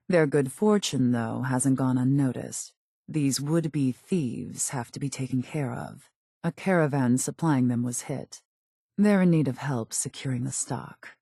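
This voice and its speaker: A woman's voice: low voice, vocal fry, mellow, breathy edge, no room sound, studio quality, heavily acted. A woman playing a cool, calculating raider gang boss in a video game, speaking in a clipped, haughty, businesslike way. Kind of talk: scripted video game character dialogue.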